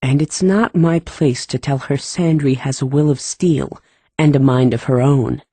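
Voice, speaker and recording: A woman's voice: rumbling low, unhurried, mellow, slightly rough, no echo, voice pushed down, full character voice. A woman voicing a blunt, plain-spoken young male gardener who talks in clipped, folksy words, reading a storybook.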